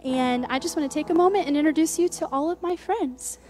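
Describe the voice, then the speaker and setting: Bright young woman addressing a church. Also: high-pitched, fairly quick. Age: young adult. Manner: upbeat, warm church talk with filler words. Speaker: college music group spokesperson. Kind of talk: church stage announcement. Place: church sanctuary stage. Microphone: handheld mic. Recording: church music playing behind the voice. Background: soft instrumental music.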